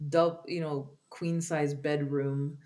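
Slightly low-voiced woman chatting casually. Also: level tone, slow, a little mellow, a little hiss or hum. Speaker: podcast co-host. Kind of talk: casual chat.

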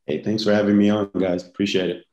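Very low and even, this young man talks in a relaxed, halting way, pausing often as he answers interview questions. His voice has a roomy echo around it, and his pitch barely moves. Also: lo-fi sound. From United States. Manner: easygoing, halting, with many pauses. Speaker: guest, football player.

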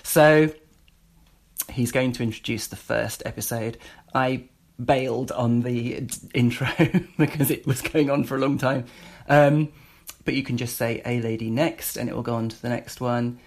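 A man's voice: medium pitch, steady tone, normal speed. A British man giving an informal spoken demonstration as a presenter, rambling a little and feeling his way through. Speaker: presenter demonstrating a voice assistant skill.

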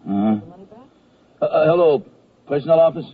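An actor playing a lazy, gruff, clueless Army infirmary sergeant, blunt and offhand, in a radio comedy. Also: male voice, a little high, expressive, normal speed, close to an everyday voice. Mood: hesitant, official. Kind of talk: 1970s radio comedy acting. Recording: tinny phone-like old radio broadcast.

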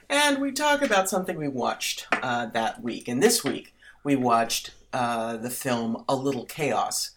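A woman from the United States co-hosting a podcast, animated and giggly, reading summaries aloud. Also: extremely low pitch, takes it slowly, slight background noise. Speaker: podcast co-host. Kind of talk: casual chat.

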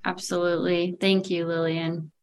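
A woman's voice: lowish voice, level tone, moderate pace, slight background noise. A woman hosting a panel, giving brief, encouraging handoffs. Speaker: host. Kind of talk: moderating a panel.

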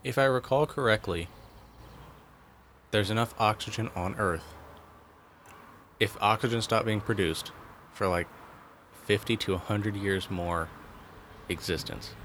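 A laid-back man with a fairly low voice chats casually into a podcast mic. He jokes and swears easily. Faint background noise sits under his voice. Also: measured pace, long pauses, fairly bright tone. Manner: laid-back, joking, profane.

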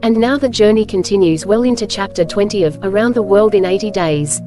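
A woman reading scripted storybook narration as host, with measured, clear phrasing. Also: medium pitch, dark tone, faint music behind.

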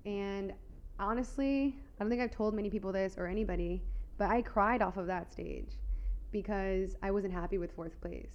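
A woman's voice: mid-range voice, moderate pace, highs cut off. A young American woman talking as a guest in casual chat, full of "like" and easy laughs. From United States. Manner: chatty, fills with "like", laughs easily. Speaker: guest, figure competitor.

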